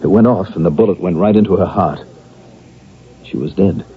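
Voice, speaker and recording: A man's voice: low voice, normal speed, slightly rough. A man acting in a vintage radio drama as a weary, defiant accused killer and psychiatric patient, emotional and evasive. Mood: bitter, defensive. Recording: muffled phone-like old radio recording.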